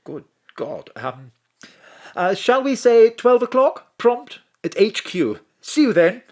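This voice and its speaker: A woman voicing a smooth, polite Englishman with an English accent on the phone. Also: on the high side, very animated, slow, a little mellow. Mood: flustered. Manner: suave, polite, formal.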